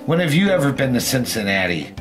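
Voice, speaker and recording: A man's voice: medium pitch, very animated, quick pace, a bit bright. A man hosting a gaming show, chatting casually while he plays, with self-deprecating jokes and swearing. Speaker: gaming show host playing the game. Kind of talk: casual banter over video game play. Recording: game music under the voice.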